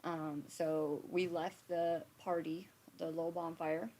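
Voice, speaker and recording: A woman's voice: low-pitched, flat delivery, takes it slowly, a little mellow, slight background noise. A woman in her thirties from Montana, telling a story on a live stream in a rambling, chatty way, full of fillers, restarts and casual swearing. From Montana, USA. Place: home, indoors. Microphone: phone or webcam on a live stream.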